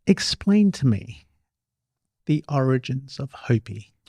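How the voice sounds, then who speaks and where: A man's voice: medium pitch, very animated, slow, gravelly, mellow, breathy edge, no echo. A man, interviewing a guest conversationally as host.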